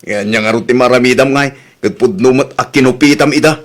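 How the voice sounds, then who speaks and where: A man's voice: medium pitch, fairly quick, close to an everyday voice. A man playing an exasperated, blaming husband who nags and reproaches in a comedy drama.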